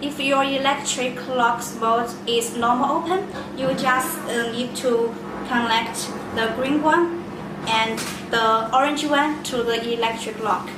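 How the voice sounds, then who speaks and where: A woman giving a step-by-step product demonstration in simple, deliberate non-native phrasing. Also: on the high side, steady tone, over music. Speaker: product presenter. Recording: echoey room, distant mic, loud noise.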